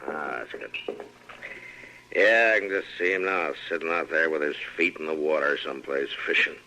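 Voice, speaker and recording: A man playing an easygoing, steady frontier lawman with an American accent in a 1950s radio western. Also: extremely low pitch, moderate pace, heavily acted, rough recording. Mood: relaxed, weary. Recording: vintage radio recording, faint noise.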